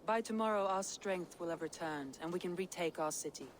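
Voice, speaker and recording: A woman's voice: fairly low pitch, a little fast, slight background noise, close to an everyday voice. An actress playing a proud Viking woman jarl in a dramatic game cutscene, speaking earnestly in formal, declamatory phrases. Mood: earnest, warm.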